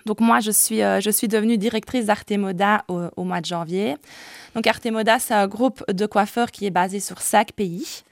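A woman's voice: mid-range voice, moderate pace, creaky, no room sound, studio quality. A woman in her forties, a hairdresser and salon director, speaking earnestly as a guest on a radio interview, with frequent hesitations. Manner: earnest, hesitant with many "euh".

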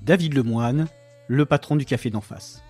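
A man working as a reporter, narrating a segment and asking interview questions in a clear, measured way. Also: mid-range voice, a little mellow, soft background music.

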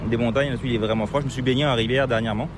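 A man from France whose French accent has picked up Quebec touches, chatting in a relaxed way with many fillers while riding in a car. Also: medium pitch, very fast. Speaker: interviewee. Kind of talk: casual chat. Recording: car and road noise. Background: car and road noise.

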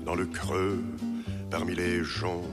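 A man's voice: sits low, little pitch movement, slow pace. A man reciting poetry in a dreamy, half-sung way over guitar. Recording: reverberant music recording. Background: loud guitar accompaniment.

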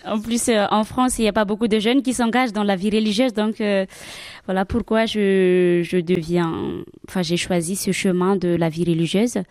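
Hesitant woman, mellow voice. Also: medium pitch, fairly even intonation, normal speed, no room sound, clean studio sound. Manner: hesitant, many fillers and restarts. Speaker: nun and catechist. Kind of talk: radio interview answers.

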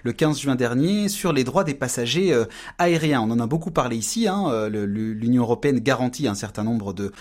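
A man's voice: medium pitch, animated, a little fast. A man giving an informative radio segment, explaining steadily with frequent fillers and repeated words. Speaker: radio columnist on European affairs.

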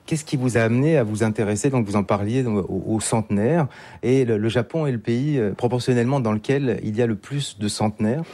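A man's voice: medium pitch, a little fast, warm tone. A male radio host leading an interview and framing his questions in a conversational, filler-heavy way.

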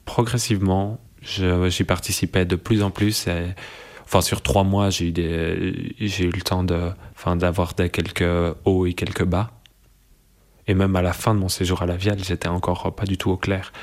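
Low-voiced thoughtful young man. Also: flat delivery. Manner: thoughtful, searching, many pauses and restarts. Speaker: interviewee. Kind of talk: reflective conversation.

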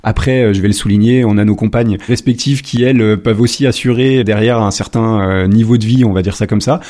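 A French man in his forties, a company founder answering interview questions in a measured way with many hesitations. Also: lowish voice, steady tone, warm tone. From France. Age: 40s.

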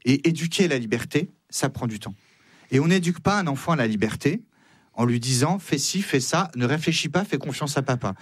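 A man's voice: medium pitch, lively intonation, a little fast, dry recording. Insistent man debating in a dry studio. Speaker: studio guest. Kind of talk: radio debate, arguing a point.

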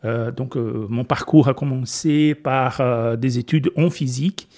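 A man's voice: medium pitch, unhurried, a little mellow. A middle-aged Brazilian man with a Portuguese accent, speaking French as a guest in a radio interview, hesitant with many fillers and restarts. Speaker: physics lecturer, guest.

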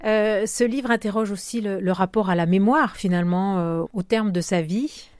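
A woman's voice: mid-range voice, moderate pace, darkish tone, no room sound. Woman interviewer with leading questions. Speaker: radio interviewer.

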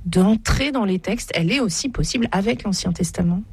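A female radio host presents and interviews on a religious programme. Her voice is husky, at a middling pitch, close on a studio microphone. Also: lively intonation, fairly quick, darkish tone.

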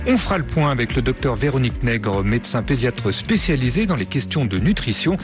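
A man reading a scripted radio program promo with lively announcer delivery. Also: mid-range voice, a little fast, over music.

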